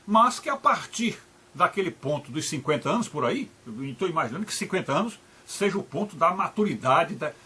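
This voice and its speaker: An older man with a Brazilian Portuguese accent gives an unscripted video lecture, halting and repeating words and often adding tag questions. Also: a little high, bright, forceful tone, slightly rough.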